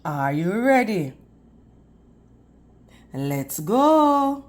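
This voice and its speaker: Slow, expressive woman reading a children's storybook. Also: mid-range voice. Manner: teacherly, prompting listeners with questions. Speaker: children's read-aloud storyteller. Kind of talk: storybook read-aloud with questions to young children.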